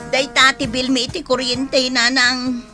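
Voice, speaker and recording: A woman's voice: high voice, little pitch movement, unhurried, over loud music. A woman playing an exasperated, nagging mother and wife in an Ilocano radio comedy drama, scolding dramatically. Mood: worried, weary. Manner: scolding, dramatic, loudly calling out.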